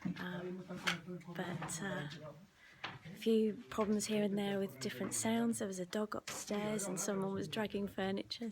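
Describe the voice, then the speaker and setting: A soft-spoken, breathy woman giving casual behind-the-scenes vlog narration, often dropping into a whisper. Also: mid-range voice. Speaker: ASMR vlogger and host.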